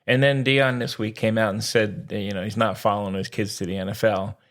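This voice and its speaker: A man's voice: fairly low pitch, little pitch movement, fairly quick. An American man co-hosting a sports podcast, chatting casually in a blunt, informal way with mildly crude slang.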